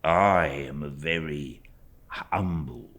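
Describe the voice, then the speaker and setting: A man voicing an oily, falsely humble young law clerk in an audiobook, with slow, obsequious phrases that sink into a hush. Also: a bass voice, full character voice. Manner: slow, obsequious, drawn-out, hushed phrase endings. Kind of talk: audiobook character acting.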